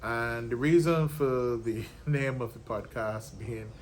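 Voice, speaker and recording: A man hosting a podcast, talking in a relaxed way with many ums and you knows. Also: mid-range voice, slow pace, slight background noise. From Barbados. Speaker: podcast host, lawyer. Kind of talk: casual explanatory monologue.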